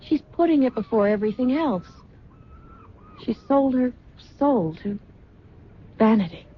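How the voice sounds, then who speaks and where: An actress playing an earnest, anxious twenty-year-old daughter in an old-time radio drama, sincere and restrained. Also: female voice, fairly high pitch, expressive, unhurried, slightly rough. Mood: earnest, worried. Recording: muffled, noisy vintage radio recording.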